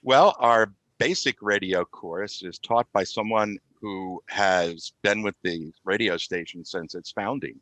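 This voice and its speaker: A man with a very deep voice answers interview questions as a podcast guest. He explains things conversationally over a video call, and the sound is dry, with little room in it. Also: fairly bright tone, no room sound. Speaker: podcast guest, community radio IT volunteer. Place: remote call with virtual background.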